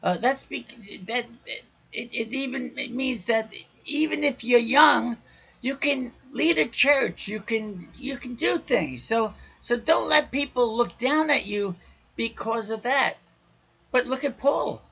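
Raspy loud guy preaching on his podcast, gravelly and bright, kinda dull lo-fi sound. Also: extremely high pitch, takes it slowly. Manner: loud, raucous delivery. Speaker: podcast host.

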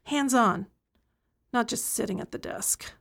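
A woman's voice: fairly high pitch, measured pace, a bit bright. An actress playing an incarcerated woman who tutors other prisoners, speaking frankly and earnestly in an audio play monologue. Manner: earnest, frank, building to a plea for change.

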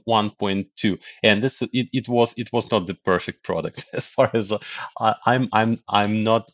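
A man from Ukraine with a fairly low voice, talking in a halting way full of restarts. The sound is dry and dull, like a lo-fi recording. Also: a bit bright, no echo. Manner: halting, many restarts and fillers. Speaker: guest. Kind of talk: podcast interview.

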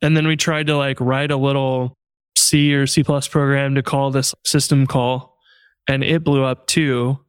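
A man hosting a casual podcast chat, relaxed and chatty, laughing often and filling his talk with "like". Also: mid-range voice, normal speed, smooth, no room sound, rough recording. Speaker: podcast host.

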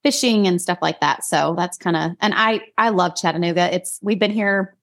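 A woman's voice: on the low side, moderate pace. Chatty forty-something woman guest. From Idaho, US. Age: 40s. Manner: chatty and warm, laughs often, restarts sentences. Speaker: guest, singer-songwriter. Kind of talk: casual interview chat.

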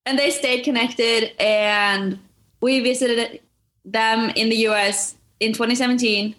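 A young woman answers questions as a student guest. She is friendly and a little hesitant, with the odd pause to find a word. Her voice sits a touch high for a woman. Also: a bit bright. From Norway. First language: Norwegian. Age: young adult. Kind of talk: casual interview answers.

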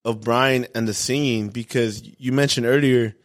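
Laid-back young podcast host. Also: male voice, medium pitch, steady tone, normal speed. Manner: laid-back, slangy, gives shout-outs. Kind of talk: casual podcast chat.

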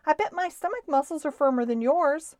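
A tiny storybook mouse boy performed by a woman reader, proud and boastful. The voice sits high and jumps about eagerly, with emphatic delivery. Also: sing-song, measured pace, dry recording, clean studio sound. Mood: eager boasting. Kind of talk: children's storybook reading.

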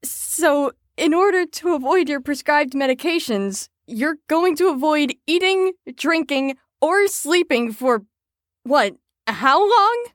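A woman's voice: high voice, lively intonation, slow, dry recording. A woman in an audio drama playing a firm carer on a patient ward, with a dry, exasperated manner.

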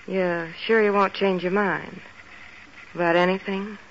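An actress playing a scheming, cool, teasing femme fatale with an American accent in a 1940s radio detective drama. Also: female voice, mid-range voice, steady tone, unhurried, close to an everyday voice. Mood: taunting, seductive. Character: sultry hard-boiled scheming femme fatale. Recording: dull, noisy old radio recording.

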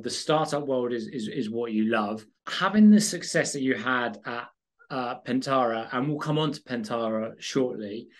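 A man hosting a podcast interview, asking long, rambling questions with fillers. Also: mid-range voice. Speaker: podcast host.

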